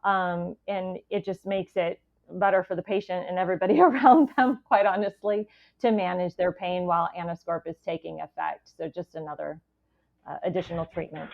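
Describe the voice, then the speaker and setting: A woman's voice: lowish voice, fairly even intonation, moderate pace, gravelly. An American woman explaining things casually and plainly as a medical expert guest on a podcast. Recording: thin phone-like line.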